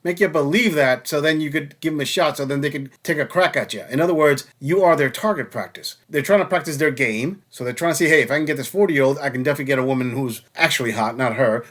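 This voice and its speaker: A man's voice: on the high side, fairly bright tone. A man hosting a reaction channel, mocking and blunt as he comments on clips.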